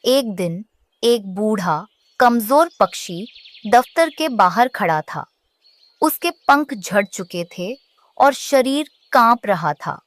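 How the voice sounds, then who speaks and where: A woman's voice: mid-range voice, animated, slow pace, dry recording. A woman narrating a scripted children's story.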